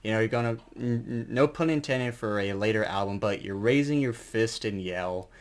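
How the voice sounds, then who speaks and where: A man's voice: fairly low pitch, normal speed. A man giving a casual, unscripted album review as an enthusiastic fan, rambling and colloquial, with some mild swearing.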